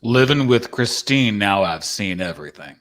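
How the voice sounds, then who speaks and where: A man's voice: lowish voice, lively intonation, moderate pace, a bit bright. Man as a laconic, wry cowboy. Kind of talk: dramatic script reading.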